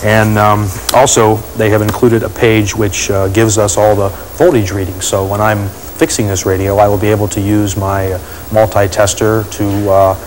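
A man's voice: lowish voice, flat delivery, normal speed, darkish tone, a little hiss or hum, rough recording. A man explaining as he works, hesitant and thinking aloud, on 1980s TV location sound. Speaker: radio restoration hobbyist. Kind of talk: unscripted explanation while working.

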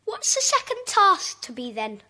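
A woman's voice: very high-pitched, sing-song, moderate pace, sharp tone, heavily acted. A woman playing an eager, questioning schoolchild in a children's audio drama. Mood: inquisitive.

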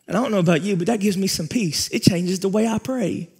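Emphatic preaching man, higher voice. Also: a little mellow, husky. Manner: emphatic preaching with repeated phrases and pauses. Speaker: pastor. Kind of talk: sermon. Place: large church stage with audience.

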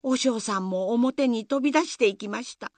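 A woman's voice: on the high side, moderate pace, no echo. A woman narrator telling a scripted folk tale in a storytelling style.

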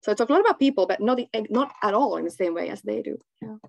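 A woman from Finland with Swedish as her first language and a Finland-Swedish accent, chatting haltingly as an interview guest on a video call. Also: medium pitch, lively intonation, creaky, dry recording. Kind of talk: casual conversational interview. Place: small room at home, indoors.

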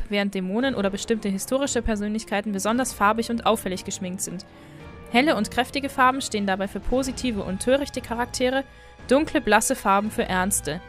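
A woman host narrating a scripted explainer video in a friendly way. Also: on the high side. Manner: friendly, apologetic about pronunciation. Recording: voice-over with faint background music.

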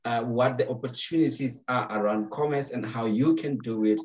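A man's voice: mid-range voice, normal speed, dull audio. Young male host, video call, upbeat fluent delivery. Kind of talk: online talk show hosting. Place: home room, video call. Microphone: webcam on a video call.

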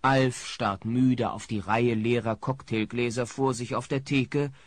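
Male narrator, unhurried, lively intonation, dry studio sound, storytelling. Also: medium pitch, no room sound. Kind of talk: scripted storytelling narration for audio drama.

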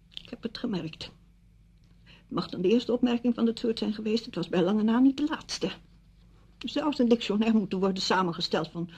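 A woman's voice: mid-range voice, darkish tone, breathy edge. An actress in a radio drama playing a bitter, wounded single woman confronting her friend with intense reproach. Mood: reproachful. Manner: reproachful, emotionally intense monologue.

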